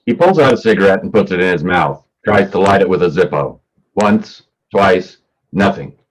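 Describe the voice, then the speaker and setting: A man's voice: low voice, animated, normal speed, low-quality recording. A man narrating scripted stage directions for a play reading.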